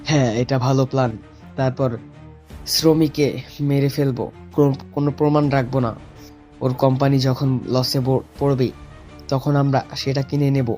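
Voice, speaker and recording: A man's voice: medium pitch, little pitch movement, moderate pace, long pauses, mellow, natural voice. A man acting a ruthless, scheming businessman father in an audio story, confident and dismissive. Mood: cool scheming. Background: music.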